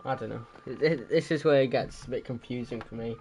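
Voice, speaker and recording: A man's voice: mid-range voice, a little fast, a little mellow, soft background music. Man with a cold hosting a stream. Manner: rambling, unsure, many restarts. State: has a cold, a bit congested. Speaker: stream host. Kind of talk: casual chat.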